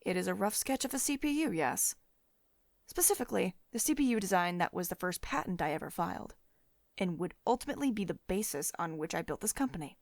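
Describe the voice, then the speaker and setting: A woman's voice: fairly low pitch, expressive, fairly quick, vocal fry, no room sound. Woman acting a doting, dominant CEO, close and dry. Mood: firm, exasperated. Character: wealthy dominant tech CEO woman, doting, possessive, confiding. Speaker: ASMR roleplay voice performer. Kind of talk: ASMR roleplay acting.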